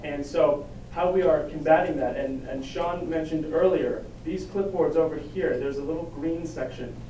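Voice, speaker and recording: A male organizer giving a halting town hall speech full of restarts. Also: on the high side, warm tone, a little hiss or hum. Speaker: volunteer organizer. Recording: echoey hall recorded from far off.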